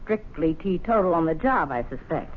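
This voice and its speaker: A woman's voice: on the low side, animated, normal speed. A nagging, suspicious older wife in an old radio play. She scolds drily and presses with pointed questions, through a thin, narrow broadcast sound. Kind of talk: radio drama acting.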